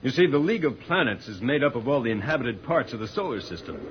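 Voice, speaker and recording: A man acting a heroic space commander in a vintage radio serial. He is calm and authoritative, with a lively, moving pitch. The recording is muffled and noisy. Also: quick pace, natural voice. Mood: calm, explaining.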